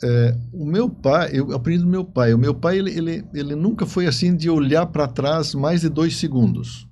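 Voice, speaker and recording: A man's voice: a little high, moderate pace, warm tone, slight background noise. Male interview guest. Conversational storyteller, explains as he goes, doubles back on words. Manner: explanatory, often repeats words and seeks agreement.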